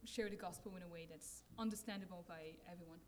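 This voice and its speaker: A young woman from France presenting her campus ministry work with slides, soft-spoken and pausing to search for words. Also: fairly low pitch, background noise.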